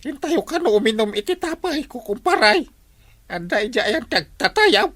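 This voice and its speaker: Actor playing a jolly, swooping Ilocano village glutton. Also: male voice, very high voice, very animated, normal speed, sharp tone, dry recording, exaggerated voice. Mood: jolly, wheedling. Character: jolly gluttonous tipsy village man, loud clowning friend. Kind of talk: Ilocano radio comedy drama acting.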